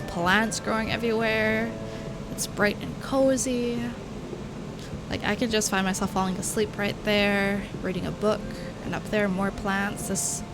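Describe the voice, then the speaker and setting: A woman's voice: mid-range voice, low-quality recording. A woman from Hawaii chatting casually as she plays through a video game, gushing over the details she sees. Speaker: let's play streamer. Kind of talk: casual gameplay commentary. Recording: game music playing behind. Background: video game music and sounds.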